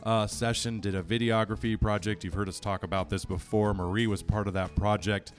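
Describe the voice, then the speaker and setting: Low-voiced man hosting a podcast. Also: steady tone, faint music behind. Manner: friendly, joking, reads disclaimer quickly. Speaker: podcast host. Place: podcast room at a camp.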